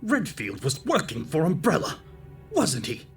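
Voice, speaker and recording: A man's voice: a little high, wildly swooping pitch, a little slow, fairly bright tone, faint music behind, exaggerated voice. A man playing an unhinged, furious and self-pitying corrupt older man, snarling with theatrical menace in an audio drama. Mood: suspicious. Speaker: voice actor in a fan audio drama. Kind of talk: dramatic villain acting.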